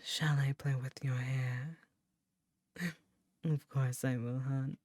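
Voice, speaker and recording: A woman voicing an ancient, seductive yet motherly female demon queen, teasing and then gently nurturing in soft, intimate whispers for an ASMR roleplay. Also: extremely low pitch, fairly even intonation, takes it slowly, no echo, studio quality, exaggerated voice. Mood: soothing.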